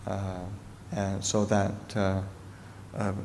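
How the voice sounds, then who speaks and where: A middle-aged Canadian-raised monk answers questions slowly and thoughtfully, pausing often. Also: male voice, low-pitched, completely flat delivery, darkish tone. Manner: slow, halting, thoughtful speech with pauses. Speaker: Buddhist monk and teacher. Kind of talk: Dharma talk Q&A answer. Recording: reverberant hall with faint noise.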